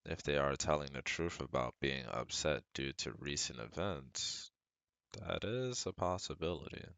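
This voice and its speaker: A man's voice: extremely low pitch, expressive, unhurried, vocal fry, a bit bright, dry recording, heavily acted. A young man playing a caring, protective best friend and psychology student in a scripted ASMR roleplay, speaking earnestly and reassuringly to the listener. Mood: reassuring, analytical. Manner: reassuring, earnest, talks directly to a close friend.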